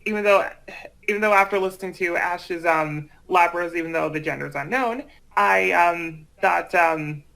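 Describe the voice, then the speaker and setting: High voiced guy chatting, really hesitant, kinda creaky, keeps restarting. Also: sharp tone, poor audio. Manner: halting, hesitant, repeats himself. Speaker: amateur voice project creator. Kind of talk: casual chat.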